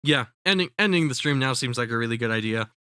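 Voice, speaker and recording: A man's voice: medium pitch, animated, quick pace, bright, forceful tone, clear, resonant tone, no room sound, clean studio sound. A man commentating casually on a live stream, sounding congested, rambling with lots of fillers and swearing. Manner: rambling, profane, full of fillers and restarts.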